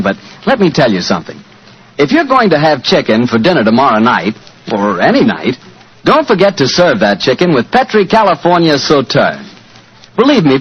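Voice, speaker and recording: A man's voice: a little high, slightly rough. An American man announcing a 1940s radio commercial in a folksy, persuasive manner. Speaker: announcer and sponsor pitchman. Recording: muffled 1940s radio recording.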